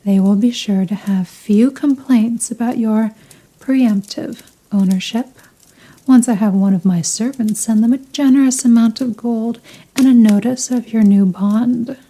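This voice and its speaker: Woman doing a rich vampire lady for asmr roleplay, super mellow and slow, teasing, possessive, kinda formal and seductive. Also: a little high, low-quality recording. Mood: amused, possessive. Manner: slow, teasing, formal, softly commanding, breathy murmurs. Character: seductive, possessive female vampire, wealthy and dominant. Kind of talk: ASMR roleplay, scripted intimate monologue.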